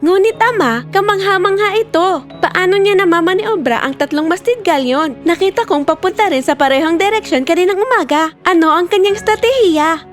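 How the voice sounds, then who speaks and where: A woman playing a bold, defiant young princess in an animated fairy tale, speaking in a spirited, assertive, dramatic way. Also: very high-pitched, brisk, soft background music. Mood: eager.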